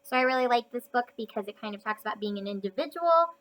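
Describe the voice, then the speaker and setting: A woman reading a children's picture book aloud in an expressive, emphatic storytelling manner. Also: on the high side, fast talker, rough recording.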